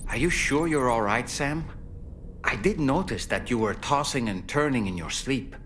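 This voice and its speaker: An actor playing an attentive, concerned companion in a sci-fi video game, polite and measured. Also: male voice, medium pitch, animated, a bit bright, slight background noise, close to an everyday voice. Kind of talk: video game cutscene acting.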